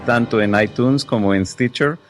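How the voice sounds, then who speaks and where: A man's voice: medium pitch, moderate pace, faint music behind. A man from the United States whose first language is English, a tech journalist speaking as an interview guest in an articulate, precise way, keen on explaining word usage. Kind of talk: podcast interview.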